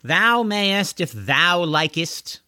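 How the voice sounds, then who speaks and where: A man's voice: high voice, big pitch swings, very slow, sharp tone, no room sound, studio quality, voice pushed up, exaggerated voice. A man voicing a haughty, spoiled, lanky horseman who sneers in pompous mock-archaic speech for a storybook. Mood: sulky.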